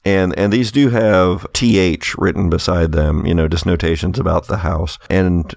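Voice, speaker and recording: A man's voice: low-pitched, steady tone, normal speed, gravelly, darkish tone, no room sound, studio quality. A man running a tabletop horror game as game master, narrating and explaining in a conversational way.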